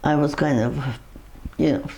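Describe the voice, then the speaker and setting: Older Norwegian woman with a gravelly voice. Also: sits low, steady tone, a little slow, warm tone, slight background noise, poor audio. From Norway. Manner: rambling storytelling with frequent laughs and restarts. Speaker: interviewee. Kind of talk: reminiscing in a personal interview.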